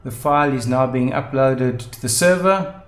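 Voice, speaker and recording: A man presenting a software tutorial, explaining methodically step by step. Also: mid-range voice, moderate pace. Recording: roomy, echoing voice-over.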